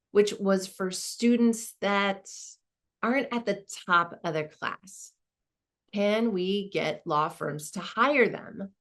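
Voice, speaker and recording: A woman playing a slow-paced, reflective woman law dean. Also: medium pitch. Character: warm, modest woman law school dean recalling her career. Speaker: performer of a dramatized narrative. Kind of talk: conversational dramatic monologue.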